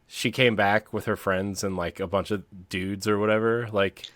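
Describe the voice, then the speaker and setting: Low-voiced man telling stories haltingly, dry sound. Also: flat delivery, fairly bright tone, no echo. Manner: halting, broken into short hesitant phrases. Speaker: podcast co-host. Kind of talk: casual personal storytelling.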